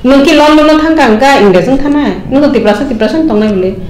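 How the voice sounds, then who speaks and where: A woman's voice: high voice, expressive, racing, a little mellow, a little hiss or hum. Earnest woman in a roomy room. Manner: earnest, switches into English phrases. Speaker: interview guest. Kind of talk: conversational interview answers. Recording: roomy echo.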